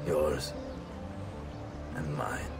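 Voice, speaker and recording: A man's voice: a bass voice, little pitch movement, extremely slow, exaggerated voice. An actor playing a dignified Florentine nobleman in a video game, solemn and formal, with an Italian accent. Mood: solemn, reflective. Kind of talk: dramatic video game acting. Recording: music behind the voice.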